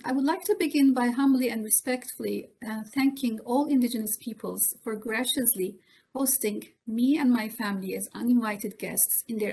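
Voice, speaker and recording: Higher-voiced woman. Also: vocal fry, darkish tone, a little hiss or hum. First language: Turkish. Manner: polite and formal, with frequent fillers. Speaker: presenter. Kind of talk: formal presentation on a video call. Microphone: video call, webcam in a home room.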